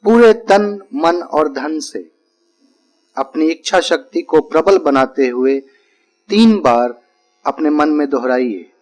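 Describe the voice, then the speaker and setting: A man giving guided relaxation instructions as a yoga nidra teacher, speaking calmly and deliberately in short, repeated phrases with pauses. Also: fairly high pitch, low-quality recording.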